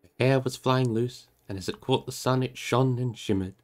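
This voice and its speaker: A man's voice: medium pitch, fairly even intonation, normal speed. A man narrating a book aloud on a livestream, moving between storybook reading and casual chat with listeners.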